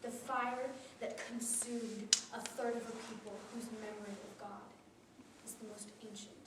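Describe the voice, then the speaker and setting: A teenage girl giving a memorized competition speech with a solemn, deliberate delivery that drops to hushed tones. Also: a little high, fairly even intonation, very noisy. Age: teens. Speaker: student speaker. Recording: roomy echo from a distant camera.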